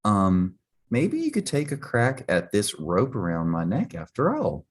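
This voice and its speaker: Man voicing an earnest young girl pig, pitched up. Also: wildly swooping pitch, a little slow, a little hiss or hum. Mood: hesitant, hopeful. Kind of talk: children's storybook reading.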